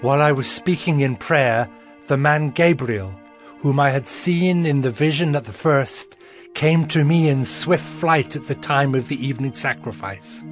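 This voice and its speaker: Man as a slow, reverent prophet over music. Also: mid-range voice, natural voice. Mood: grave, awestruck. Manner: grave, reverent, deliberate. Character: Daniel, male Hebrew prophet, humble and awestruck, recounting visions. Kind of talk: dramatized scripture storytelling. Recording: clear music bed behind voice.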